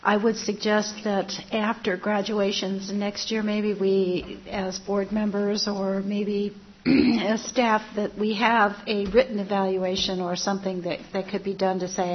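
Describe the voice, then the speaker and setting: A woman's voice: medium pitch, slight background noise. Woman, strained fading voice, earnest, frequent ums, muffled lo-fi sound. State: losing her voice. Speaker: school board member. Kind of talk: public meeting remarks.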